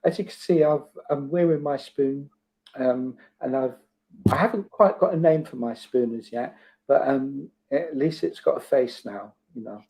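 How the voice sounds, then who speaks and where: Mellow-voiced male guest. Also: mid-range voice, moderate pace, poor audio. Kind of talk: casual chat.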